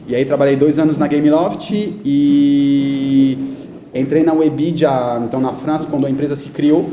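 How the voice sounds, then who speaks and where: Mellow, dark-voiced Frenchman in echoey room. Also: fairly high pitch, little pitch movement, normal speed. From France. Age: 30s. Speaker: guest speaker, digital media company executive. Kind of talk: conversational talk in an interview. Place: indoor event talk before an audience. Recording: echoey room with faint background noise.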